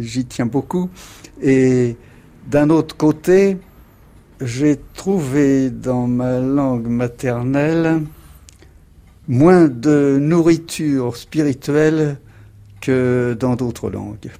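An older man in an archival radio interview, talking slowly and musingly, with many hesitations. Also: mid-range voice, dark tone.

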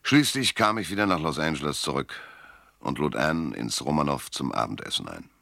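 A hardboiled private detective tells his case in a radio crime drama. The voice is extremely deep and crackles with fry, yet moves in lively swings. The delivery is sardonic and laconic, closely recorded and dry. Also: moderate pace, dry recording, heavily acted. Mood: dry, matter-of-fact. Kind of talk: German radio crime drama, first-person noir narration and dialogue.

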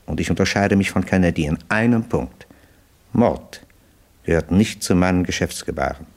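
Low-voiced, smooth crime boss. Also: male voice, expressive, normal speed, a little mellow. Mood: businesslike. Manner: courteous, businesslike, coolly menacing. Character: smooth, calculating male crime boss proposing deals. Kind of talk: 1960s German radio play acting. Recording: dry 1960s radio studio recording.

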